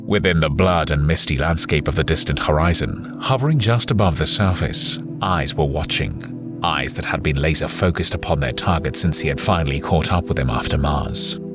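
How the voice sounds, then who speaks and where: A man's voice: very deep voice, moderate pace, over music. A man reading scripted narration for a science fiction audio adventure.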